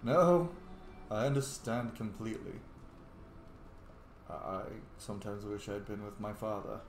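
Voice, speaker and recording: A man's voice: fairly low pitch, animated, measured pace. A man hosting a role-play gameplay video, moving between casual commentary and lines spoken as his character. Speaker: gaming video host playing and voicing his character. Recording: echoey room with faint background noise.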